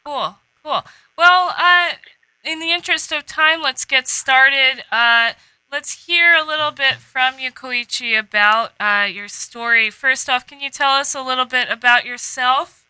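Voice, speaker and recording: A woman hosting a casual podcast chat, relaxed and full of fillers. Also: sits high, measured pace, clean tone, no room sound, rough recording. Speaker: podcast host.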